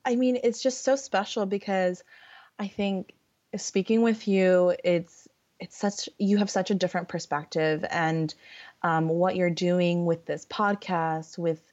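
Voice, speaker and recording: A woman's voice: fairly low pitch, fairly even intonation, measured pace, a little mellow. A woman with a Californian accent chatting casually as a podcast guest, with a giggly, hesitant way of talking. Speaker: podcast guest, English conversation partner. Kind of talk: casual conversation. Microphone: online voice call. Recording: dull, lo-fi internet call audio.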